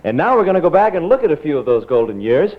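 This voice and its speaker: A man's voice: a little high, very animated, fast talker. A man hosting a call-in radio talk show in a conversational style. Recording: muffled 1960s radio broadcast tape.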